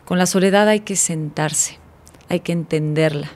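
Low-voiced woman hosting a studio podcast. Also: normal speed. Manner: warm, effusive, reads from paper. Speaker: podcast host. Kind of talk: scripted intro then casual interview. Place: dark studio set, indoors. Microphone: studio mic on boom arm.

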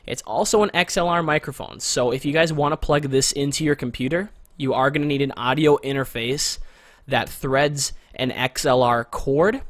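A young man in a small bedroom giving a casual, rambling walkthrough of his recording gear, close to a condenser mic, in a masculine but not very deep voice. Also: normal speed, dry recording. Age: young adult. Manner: relaxed, rambling, repeats words for emphasis. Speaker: gear reviewer. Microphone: studio condenser mic with pop filter, close.

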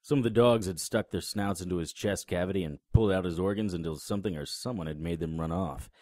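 An actor playing a wisecracking, unflappable paranormal investigator in an audio drama, with a dry, sarcastic delivery. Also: male voice, low voice, fast talker, warm tone, dry recording, close to an everyday voice. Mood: grim narration. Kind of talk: audio drama dialogue and first-person noir narration.

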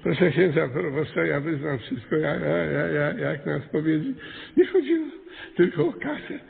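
An actor playing a flustered small-town mayor in a radio play, stammering, whining and indignantly justifying himself. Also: male voice, fairly high pitch, lively intonation, moderate pace, gravelly, slightly rough, phone-quality sound, heavily acted. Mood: tearful pleading. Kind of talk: radio drama acting. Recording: thin band-limited radio sound with long reverb.

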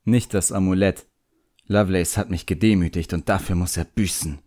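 A man's voice: low voice, steady tone, normal speed, darkish tone, lightly acted. A man voicing a proud, sullen young boy apprentice magician in an audiobook, defiant and muttering. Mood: vengeful.

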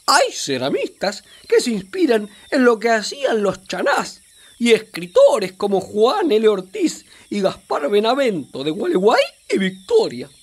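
An Argentine river guide for a children's radio play. The voice is high and swoops widely, with an unhurried, expressive, explanatory delivery. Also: female voice, breathy edge, full character voice. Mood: enthusiastic, explaining. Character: animated female island guide telling a child about the river.

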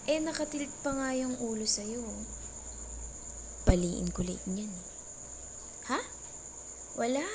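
Casting: woman to play jealous young Filipino girlfriend for intimate audio roleplay; higher voice, teasing mock threats, light cricket noise behind. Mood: suspicious, sarcastic. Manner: teasing, mock-threatening, sarcastic, switching between Tagalog and English. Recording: noisy track with cricket and insect sounds.

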